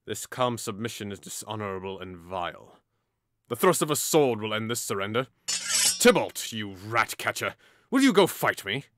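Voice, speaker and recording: A man's voice: on the low side, big pitch swings, normal speed, fairly bright tone. A man playing a hot-headed, mocking young nobleman in an audio drama, with a theatrical, taunting delivery. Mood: angry, taunting.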